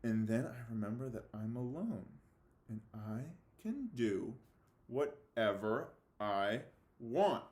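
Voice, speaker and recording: A man speaking as a fifth-grade teacher giving a read-aloud lesson, halting and theatrically mock-serious in his delivery. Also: mid-range voice, animated, a little mellow. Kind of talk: read-aloud lesson for children, reading a novel with commentary. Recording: echoey room.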